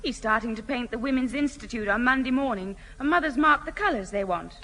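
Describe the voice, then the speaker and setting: An actress playing a brisk, bossy, exasperated older sister in a radio sitcom, with a snappy way of talking. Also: female voice, on the high side, expressive, pushed and bright. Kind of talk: 1970 radio sitcom acting. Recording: hissy old radio broadcast, reverberant theatre hall.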